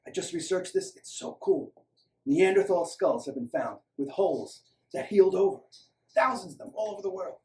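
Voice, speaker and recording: A man voicing an obsessive, excitable young man making a video diary in a story, halting and breathless with zeal. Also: high voice, voice pushed up. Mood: proud, excited. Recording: roomy, echoing, distant microphone.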